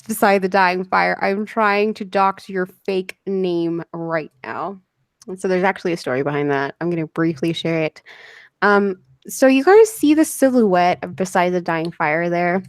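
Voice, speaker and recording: A woman hosting a casual livestream interview, her voice hoarse and scratchy as she chats and giggles. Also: lowish voice, measured pace, no echo, clean studio sound. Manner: chatty, giggly, reads chat messages aloud. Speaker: livestream host interviewing a guest.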